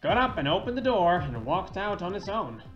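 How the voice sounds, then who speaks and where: A sombre older superintendent and former examiner, read by a man playing a video game. He tells his story gravely with a moving pitch, in a dull, echoey recording. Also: very animated, normal speed, over music. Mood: bewildered. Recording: dull lo-fi roomy mic.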